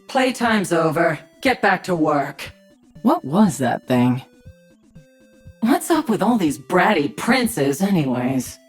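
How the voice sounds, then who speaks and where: A battle-hardened queen of an alien swarm in a video game. Her voice sits low and husky, and she takes her time over each line. She commands and mocks with dry, tough sarcasm. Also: big pitch swings, takes it slowly, faint music behind, exaggerated voice. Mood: gloating, taunting. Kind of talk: video game character acting.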